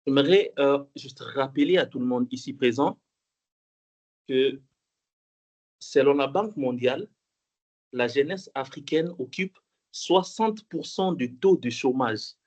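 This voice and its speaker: A man's voice: medium pitch, unhurried, long pauses, a little mellow, dull audio. A young man from the Congo giving a talk at an online conference, hesitant with many fillers and restarts, repeating points for emphasis. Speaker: conference speaker. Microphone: online call mic.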